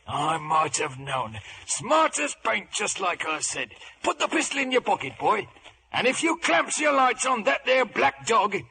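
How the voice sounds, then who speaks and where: An actor playing a wily, roguish one-legged pirate sea cook who talks in rustic seafaring slang, in an old radio drama. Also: male voice, high voice, big pitch swings, unhurried, gravelly, bright, forceful tone, a little hiss or hum, full character voice. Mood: gruff, sly. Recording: old radio broadcast, faint music.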